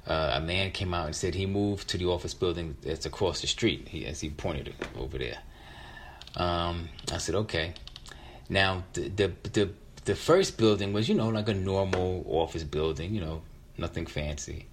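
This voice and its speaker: A man in his fifties with an American accent and a low, deep voice recounts a personal story. His delivery is conversational and reflective, often halting or repeating a word as he goes. Noticeable background noise sits behind him. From West Philadelphia, United States. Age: 50s. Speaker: featured storyteller.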